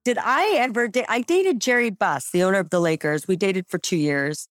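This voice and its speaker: A woman hosting a podcast in casual chat, bubbly and quick to laugh. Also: mid-range voice, animated, faint music behind. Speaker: podcast host.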